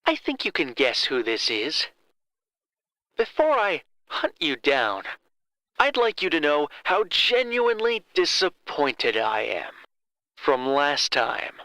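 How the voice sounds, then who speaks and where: Man playing a bright, swooping, menacing villain. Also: fairly high pitch, no room sound, exaggerated voice. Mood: cold, disappointed. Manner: deliberate, mock-polite, storytelling. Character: vengeful, theatrically menacing male villain back from the underworld. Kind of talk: horror audio drama monologue.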